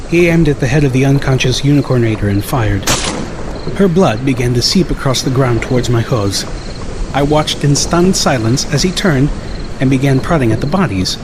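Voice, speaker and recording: A man's voice: medium pitch, noisy background. A man reading a scripted first-person story as an audiobook narrator.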